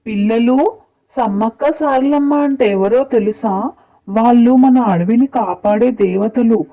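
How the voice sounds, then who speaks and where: A woman's voice: fairly high pitch, unhurried, mellow. A woman voicing a wise, kindly old grandfather eagle teaching youngsters in a children's cartoon story. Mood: kindly, instructive. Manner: instructive, storytelling elder. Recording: slightly dull, muffled sound.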